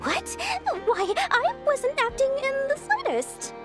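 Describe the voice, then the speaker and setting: A woman's voice: very high voice, big pitch swings, slow pace, full character voice. A woman playing a theatrical, wheedling businesswoman in a video game, effusive and dramatic with plenty of flourish. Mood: effusive, feigned innocence. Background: music.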